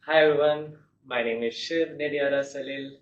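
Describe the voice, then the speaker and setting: A young man from India, a guest telling his personal story in a measured, reflective way. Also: medium pitch, fairly even intonation. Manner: measured, reflective, with soft laughs. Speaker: guest, law student. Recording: echoey, distant, muffled.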